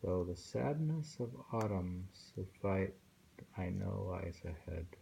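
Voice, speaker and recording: A man reading poems aloud and commenting casually in a slow, musing way, with thoughtful hums. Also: low-pitched, lively intonation, creaky, mellow, slight background noise. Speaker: reader of translated poetry.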